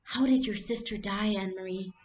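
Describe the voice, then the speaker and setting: A woman's voice: mid-range voice, fairly quick, pitched above the performer's own voice, natural voice. A woman voicing a nervous, earnest young girl with a dramatic streak in a storybook read-aloud. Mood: anxious, curious. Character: nervous young girl, theatrical, wistful best friend.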